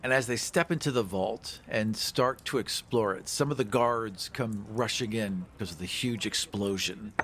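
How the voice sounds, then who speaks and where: A man's voice: lowish voice, normal speed, hardly any pauses, fairly bright tone, a little hiss or hum. A playful man hosting a podcast and telling the story of a tabletop game in a casual style. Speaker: podcast host and game player. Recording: music playing behind the voice.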